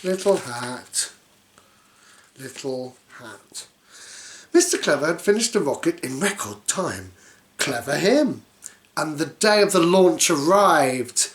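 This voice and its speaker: A woman's voice: sits low, animated, slow pace, pauses often. A woman reading a children's story aloud in a silly, theatrical way, dropping in playful asides.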